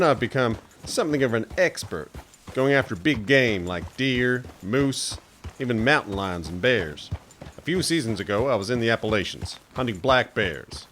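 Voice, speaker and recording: A man plays a bragging frontier hunter in a radio play, spinning tall tales with a swooping, animated delivery. His voice sits at a middling pitch, with faint noise underneath. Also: close to an everyday voice. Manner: boastful, folksy storyteller. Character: boastful tall-tale male frontier hunter. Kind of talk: radio drama acting.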